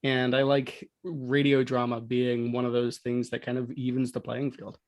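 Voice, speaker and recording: A man's voice: mid-range voice, monotone, normal speed. A man talking casually and conversationally as a panelist on a podcast. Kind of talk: casual chat.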